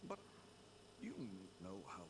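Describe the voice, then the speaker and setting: A man's voice: takes it slowly, natural voice. A man playing a flustered, dutiful young knight guard in a video game, reporting urgently. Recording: distant, noisy game livestream audio.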